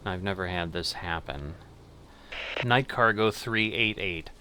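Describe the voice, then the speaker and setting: A middle-aged man with a low, bright voice talks slowly through a game. He thinks aloud casually and reads out controller commands, with faint noise behind him. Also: rough recording. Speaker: gaming channel host playing air traffic controller. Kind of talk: casual gameplay commentary with radio commands.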